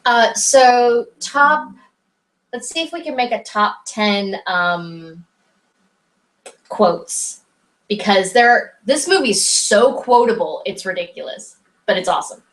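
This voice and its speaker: A woman co-hosting a casual movie review chat, chatty and quick to jump in. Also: medium pitch, animated, poor audio. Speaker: podcast co-host.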